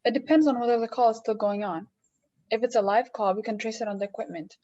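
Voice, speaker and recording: A woman acting an officious chief telephone operator in a radio drama, polite, measured and unmoved. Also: a little high, mellow, close to an everyday voice. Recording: slightly dull, lo-fi sound.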